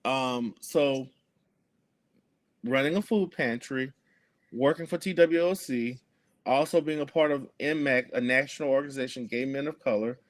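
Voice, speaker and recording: A man's voice: medium pitch, moderate pace. A man, speaking earnestly as an activist guest in an interview, with many fillers and restarts. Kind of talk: conversational interview.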